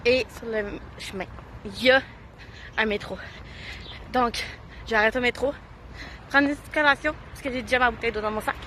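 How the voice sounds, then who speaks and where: A young woman with a Quebec French accent, a little stuffy from a cold, chats excitedly to her camera as she hurries along city sidewalks. Her voice sits a little high. Faint traffic hums behind her. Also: normal speed, pauses often. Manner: chatty, excitable, breathless when hurrying. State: slight cold, sore throat. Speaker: hide-and-seek player vlogging. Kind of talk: casual self-filmed vlog narration. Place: outdoors on busy city streets.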